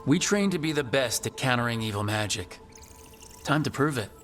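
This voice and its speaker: An actor playing an earnest, direct resistance hero in a fantasy video game. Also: male voice, mid-range voice, animated, moderate pace, a bit bright, faint music behind, natural voice. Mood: earnest, resolute.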